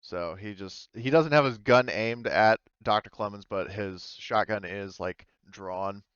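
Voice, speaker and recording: A man running a tabletop game as game master, narrating in a casual, halting, informal way. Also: lowish voice, a bit bright, smooth, no room sound, lo-fi sound.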